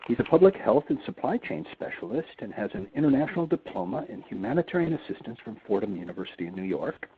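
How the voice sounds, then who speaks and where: A man's voice: mid-range voice, sing-song, fast, crowd noise. A man hosting a webinar, asking questions and introducing guests in a courteous, formal way. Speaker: webinar host. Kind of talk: moderating a webinar. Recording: tinny phone-line audio.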